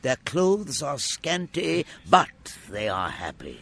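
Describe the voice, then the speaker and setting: Stern, slow, gravelly Christmas spirit. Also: male voice, medium pitch, huge pitch swings, a bit bright, full character voice. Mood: commanding. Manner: grave, deliberate, declamatory. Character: solemn, stern, commanding Christmas spirit, mocking and moralizing. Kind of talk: family radio drama acting.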